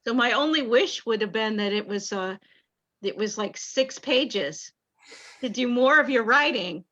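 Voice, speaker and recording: A woman's voice: a little high, animated, a little slow, a bit bright. A woman speaking reflectively as a theatre director in an interview, over a dull, roomy video call.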